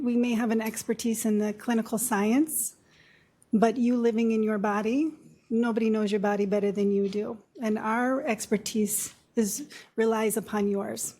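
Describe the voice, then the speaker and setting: Woman in her 50s giving a talk, low voice, kinda husky and mellow, chatty with little stories. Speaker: guest speaker, nurse practitioner. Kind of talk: seminar talk. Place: lecture room.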